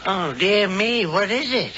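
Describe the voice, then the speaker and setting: A man's voice: sits high, animated, unhurried, pushed and bright, husky, full character voice. An actor playing a genteel, sinister old male witch in a 1970s radio drama, mild and fussily polite. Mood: feigned politeness. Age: older. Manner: mild, fussy, politely evasive. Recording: muffled old radio broadcast, music behind.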